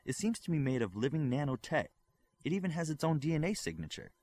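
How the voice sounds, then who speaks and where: A man's voice: fairly high pitch, big pitch swings, fast talker, a little mellow, voice pushed up. A man voicing a curious, careful female laboratory scientist in an audiobook. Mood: focused.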